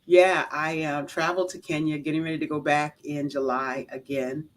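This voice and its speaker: A woman's voice: low voice, little pitch movement, moderate pace. A woman with an American accent hosting a talk show in a casual, affirming way, repeating phrases for emphasis. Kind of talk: casual conversational interview.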